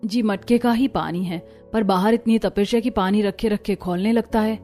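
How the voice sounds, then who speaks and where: A woman's voice: mid-range voice, moderate pace, mellow, faint music behind, close to an everyday voice. A woman voicing a hard-pressed young village daughter-in-law in a cartoon, plaintive and respectful. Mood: defensive.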